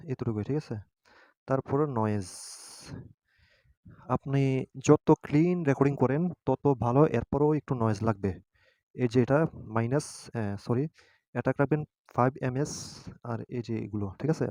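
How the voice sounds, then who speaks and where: A man with a mellow, relaxed voice at a middling pitch walks through an app step by step. The sound is dry and close. He talks informally and haltingly, often pausing to seek agreement. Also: no echo. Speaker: tutorial host.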